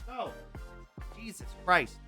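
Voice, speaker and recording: A man in his thirties streaming a game, chatting casually and joking with viewers, his voice strained and cracking from allergies, with long strings of drawn-out ums and plenty of swearing. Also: high-pitched, animated, over music. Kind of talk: casual chat reading out viewer messages.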